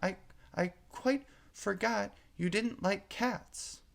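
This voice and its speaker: Guy doing a polite fretful little girl from a storybook, raised pitch, unhurried, wondering. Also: creaky, full character voice. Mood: apologetic, soothing. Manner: earnest, wondering, apologetic. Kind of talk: storybook character reading.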